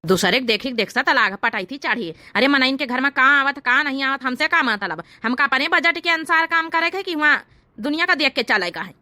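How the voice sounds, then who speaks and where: A woman's voice: sits high, animated, a little fast, sharp tone, no room sound, exaggerated voice. A woman voicing an exasperated village husband in a comedy cartoon, scolding and grumbling in an Awadhi accent. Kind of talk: exaggerated cartoon comedy dialogue.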